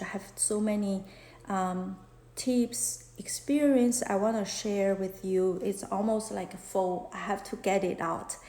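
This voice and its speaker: A woman's voice: medium pitch, warm tone. A woman in her thirties from China with a Chinese accent, hosting a casual live chat from a phone and speaking earnestly with many fillers and restarts. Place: small room indoors. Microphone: phone at arm's length. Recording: roomy echo.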